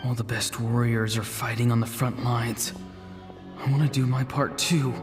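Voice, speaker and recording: An actor playing an earnest, self-doubting young warrior in a video game, emotional and halting. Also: male voice, mid-range voice, steady tone, over music, close to an everyday voice. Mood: wistful. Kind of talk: dramatic video game voice acting.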